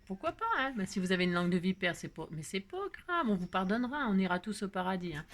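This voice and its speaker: A woman's voice: mid-range voice, expressive, fast talker. A woman hosting a craft video, chatting casually in a rambling, jokey way full of digressions. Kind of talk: casual chat.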